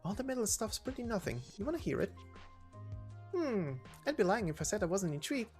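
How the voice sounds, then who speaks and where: A playful, conversational video game character, acted by a woman. The voice is lifted well above the reader's usual low one and has a light, bantering manner, with soft music behind. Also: very animated, brisk, natural voice. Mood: playful, curious. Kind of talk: voiced visual novel story reading.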